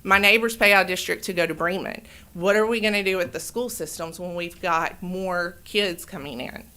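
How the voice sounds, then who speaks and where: Loud, rambling woman at a podium. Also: on the low side. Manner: rambling, self-correcting. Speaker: resident giving public comment. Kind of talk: public comment at city meeting. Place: city council chamber. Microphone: podium microphone.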